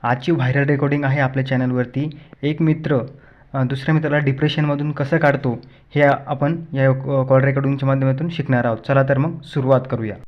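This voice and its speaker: A man's voice: medium pitch, fairly even intonation, a little fast. A man giving a host's scripted introduction in muffled lo-fi audio. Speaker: channel host introducing a recording. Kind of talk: scripted introduction to a call recording.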